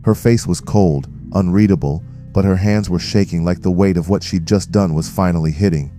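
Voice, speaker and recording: An actor playing a grave, watchful crew member, narrating an audio drama in a serious, deliberate way. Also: male voice, deep voice, mellow, faint music behind, lightly acted. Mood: uneasy, tense.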